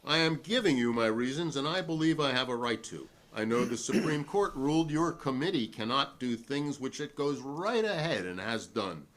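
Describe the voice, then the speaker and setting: Principled American male witness played by a man in a dramatic hearing reading; defiant, oratorical, widely swinging pitch. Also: animated, normal speed, few pauses, fairly bright tone, natural voice.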